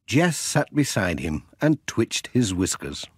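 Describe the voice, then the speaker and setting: A man reading a children's storybook as an expressive audiobook narrator. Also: lowish voice, slow pace, breathy edge, no room sound, studio quality.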